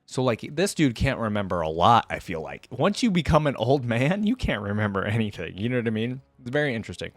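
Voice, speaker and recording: A man's voice: medium pitch, big pitch swings, fast, hardly any pauses, no room sound, studio quality. A man hosting a reaction video, giving casual commentary and musing aloud in a conversational way.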